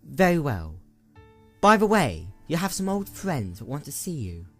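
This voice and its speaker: A man voicing a weary, sorrowful female pony princess in a storybook, speaking in a soft, restrained, measured way. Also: a little high, very animated, faint music behind, heavily acted. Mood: composed.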